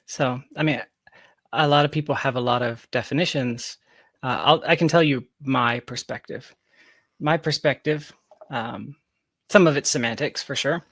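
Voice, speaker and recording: A man's voice: medium pitch, normal speed, fairly bright tone, dry recording. Man in his thirties, dry close sound. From Georgia. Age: 30s. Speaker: podcast guest, software developer. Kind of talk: conversational interview answers.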